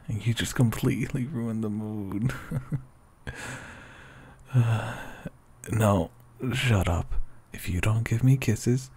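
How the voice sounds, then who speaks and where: A young man with a whispery voice speaks softly and sleepily in a teasing boyfriend roleplay, often breaking into quiet laughs. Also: mid-range voice, breathy edge. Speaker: ASMR roleplay performer. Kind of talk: soft-spoken ASMR boyfriend roleplay.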